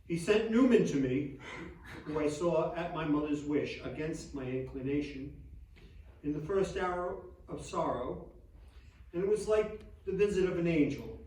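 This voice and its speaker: A man's voice: fairly high pitch, unhurried, mellow, natural voice. An actor playing a grieving, devout and humble Victorian clergyman in a theatrical reading of letters. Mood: grieving, grateful. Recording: distant echoey hall stage recording.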